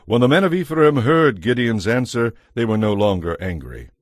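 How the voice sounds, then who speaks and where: A man narrating a scripted audio Bible as a measured, solemn storyteller. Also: mid-range voice, animated, dry recording, clean studio sound.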